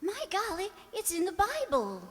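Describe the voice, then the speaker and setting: Woman playing an excitable girl, piercingly high voice. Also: moderate pace, heavily acted. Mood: indignant. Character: excitable, plain-spoken young girl, Helen's friend. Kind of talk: old-time radio drama acting. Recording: reverberant hall.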